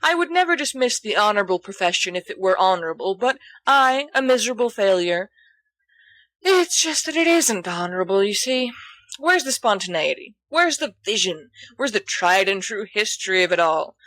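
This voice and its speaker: A woman's voice: mid-range voice, sing-song, normal speed, fairly bright tone, no room sound. A woman voicing a boastful, theatrical teenage schoolboy who rambles grandly in an audiobook story. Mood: theatrical indignation.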